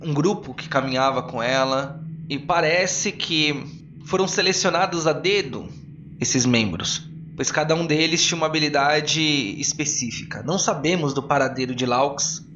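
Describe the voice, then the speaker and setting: A man's voice: on the high side, normal speed, fairly bright tone, reverberant, dull audio, faint music behind, natural voice. A man playing a grave, eloquent arcane council speaker with a formal, declamatory delivery in a tabletop audio drama. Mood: explaining.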